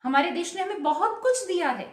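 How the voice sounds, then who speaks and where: A woman's voice: very high voice, expressive, fairly quick, roomy sound. A woman vlogger giving an earnest patriotic speech to camera, asking many rhetorical questions.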